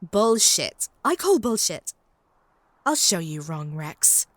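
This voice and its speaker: A woman's voice: lowish voice, sing-song, slow, no room sound, clean studio sound. A woman playing a possessive, haughty female vampire in a comedic roleplay audio drama, taunting and doting in a theatrical way. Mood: indignant.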